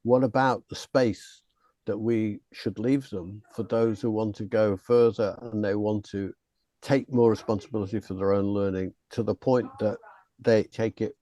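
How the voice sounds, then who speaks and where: A man's voice: lowish voice, steady tone, a little slow, warm tone, no echo, lo-fi sound. A man leading a discussion, asking long reflective questions about learning. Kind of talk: conversational discussion.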